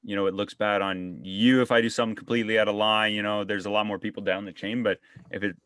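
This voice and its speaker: A man's voice: fairly low pitch, flat delivery, fast talker, fairly bright tone, smooth, dry recording. A young man hosting a podcast, chatting casually and often restarting his sentences. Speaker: podcast host. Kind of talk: casual conversation.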